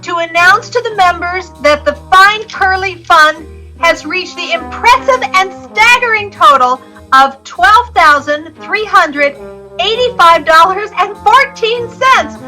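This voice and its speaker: A pompous, very high-voiced club president. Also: female voice, animated, slow, bright, forceful tone, faint music behind, heavily acted, rough recording. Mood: grandiose. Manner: oratorical, grandiose. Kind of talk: old-time radio drama acting.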